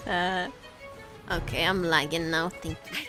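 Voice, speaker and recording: A woman's voice: on the low side, fairly even intonation. A woman streaming a video game, chatting casually and playfully with her viewers and given to exclaiming and joking. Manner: playful, exclaiming, quick to joke. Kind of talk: casual live gameplay chat with viewers. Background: background music.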